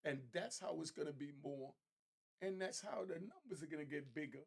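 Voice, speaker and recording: A man's voice: a little high, expressive, a little fast, creaky, slightly rough. A man hosting an online show, giving casual, opinionated commentary in conversational street slang.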